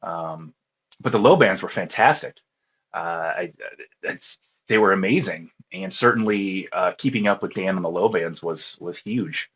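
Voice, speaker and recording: Guy with a deep kinda husky voice chatting in an interview, telling stories, bit muffled audio. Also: a little slow, a bit bright. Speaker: interview guest, ham radio contest operator. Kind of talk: casual interview storytelling.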